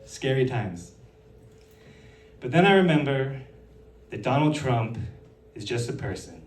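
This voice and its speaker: A man performing stand-up on stage with a dry, sarcastic delivery. His pitch swings widely from line to line. He sounds a little distant, set back from the mic in a roomy hall. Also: sing-song, a little slow, a little hiss or hum. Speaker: stand-up comedian and physician.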